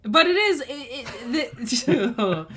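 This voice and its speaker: A woman's voice: a little high, animated, drawn-out words, a bit bright, breathy edge. A young woman in her twenties co-hosting a casual chat, talking in a chatty, upbeat way. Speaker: co-host.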